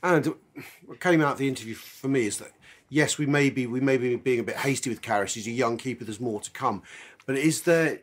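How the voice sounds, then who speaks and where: Mid-pitched man hosting a football chat. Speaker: podcast host.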